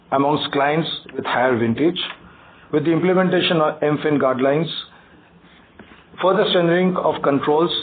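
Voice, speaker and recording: A man with an Indian accent reads a prepared financial briefing as a bank executive, haltingly, stumbling over and repeating words. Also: a little high. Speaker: bank executive on an earnings call. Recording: tinny phone conference line, faint noise.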